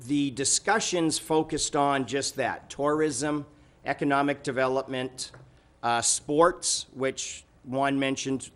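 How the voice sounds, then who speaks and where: A man chairing a city council meeting, giving informal remarks and updates in a halting, conversational way. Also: mid-range voice, fairly bright tone.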